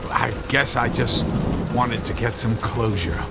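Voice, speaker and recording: An actor playing an anxious, guilt-ridden inventor in a radio drama, speaking hesitantly with stammers. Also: male voice, mid-range voice, measured pace, gravelly, heavy background noise. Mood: flustered, evasive. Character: anxious, guilt-ridden male inventor hopping between dimensions.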